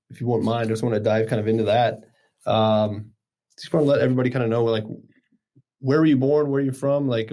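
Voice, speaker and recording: A man's voice: mid-range voice, a little mellow. A man hosting a podcast interview, asking loose, friendly questions with fillers. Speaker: podcast host. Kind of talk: casual interview.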